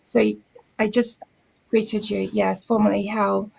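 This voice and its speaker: Gravelly New Zealand woman, middle-aged. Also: medium pitch, little pitch movement, low-quality recording. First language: Māori. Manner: unhurried, formal greeting in Māori then warm explanation. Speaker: school principal and book circle guest. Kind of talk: casual introduction on a video call.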